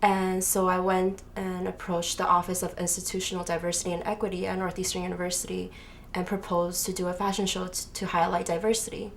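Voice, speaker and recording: A woman's voice: fairly low pitch, level tone, brisk. A young adult woman from Taiwan answering questions as an interview guest, explaining her work. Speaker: interview guest, student fashion designer. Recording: noisy room, clear background noise.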